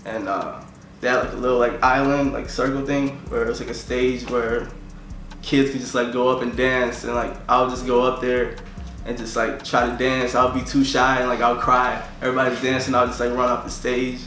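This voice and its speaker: A man's voice: medium pitch, flat delivery, brisk, fairly bright tone, roomy sound. A young man from Tanzania, a musician talking casually in an interview and filling nearly every phrase with "like".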